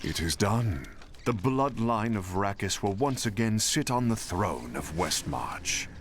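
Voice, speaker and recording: A scheming game villain with a claim to a throne. His low voice rises and falls theatrically, self-righteous and calculating, with faint noise behind. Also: big pitch swings, a little slow. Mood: smug, ambitious. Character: ambitious, ruthless male usurper with royal blood. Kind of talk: video game voice acting.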